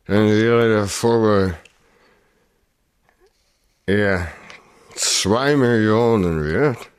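An actor playing a cool, hard-boiled private detective in a German radio crime drama, laconic and dry. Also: male voice, on the low side, drawn-out words, smooth. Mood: guarded, dry.

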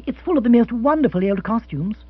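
A man voicing a brisk, proper aristocratic wife in an audiobook, with a husky voice and a crisp, matter-of-fact delivery. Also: extremely high pitch, expressive, no room sound, pitched above the performer's own voice. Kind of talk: audiobook character voice. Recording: dull, dry, slightly lo-fi recording.

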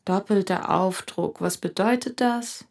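A woman's voice: mid-range voice, unhurried, creaky, darkish tone, slight background noise. A woman acting a flippant, curious young housekeeper in a radio play, terse and questioning.

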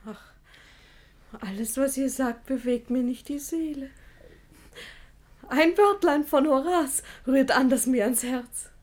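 A woman's voice: sits high, darkish tone. An actress playing a naive, frank and stubbornly honest young woman, speaking artless verse in a classic radio drama. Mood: meek, sincere. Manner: artless, candid, measured verse delivery.

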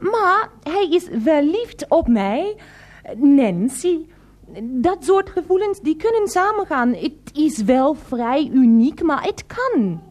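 A naive, dreamy young woman in a radio comedy. Her voice is high and sing-song. She speaks slowly and haltingly. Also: very animated, darkish tone, exaggerated voice. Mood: earnest. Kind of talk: 1990s radio comedy drama.

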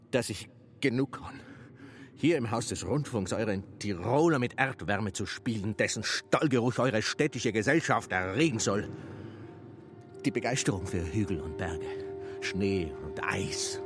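An actor as a blustering Tyrolean mountaineer, swooping delivery. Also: male voice, mid-range voice, natural voice. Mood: preachy, sly, then rapturous. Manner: theatrical, blustering, earthy. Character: stubborn, defiant Tyrolean mountaineer and storyteller. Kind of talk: radio play monologue.

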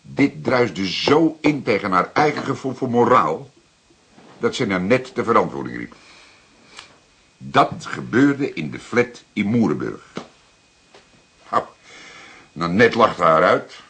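An actor playing a seasoned older police detective in a Dutch radio drama, explaining things deliberately with dramatic pauses. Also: male voice, fairly low pitch, sing-song, a bit bright, slightly rough, exaggerated voice. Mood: grave. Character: seasoned older male police detective, calm, plain-spoken storyteller.

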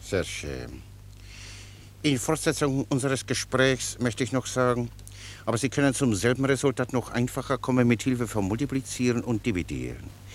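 An actor in a German radio play, playing a cheerful, long-winded common soldier who explains things pedantically with naive obedience. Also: male voice, mid-range voice, very animated, normal speed. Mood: chatty, unruffled. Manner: chatty, rambling, pedantically explanatory.

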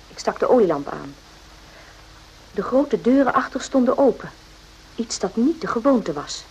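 A woman playing a guarded, correct doctor in a radio play, speaking in a measured, formal way. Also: a little high, darkish tone. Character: uneasy, firm female army doctor, correct and guarded. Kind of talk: radio drama acting with narration. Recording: noisy old radio play recording.

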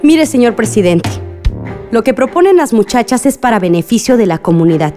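A woman's voice: a little high, lively intonation, brisk, dark tone, soft background music, lightly acted. A Mexican woman playing a principled, unyielding village mother in a radio soap opera, firm and formally polite. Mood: firm, indignant. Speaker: radio drama actor.

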